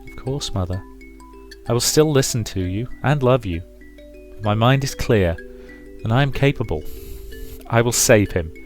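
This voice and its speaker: A man reading the part of a gentle, resolute grown daughter in a visual novel, soft, earnest and measured. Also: medium pitch, lively intonation, close to an everyday voice. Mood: calm, firm. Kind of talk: dramatic visual novel read-aloud. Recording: music behind the voice.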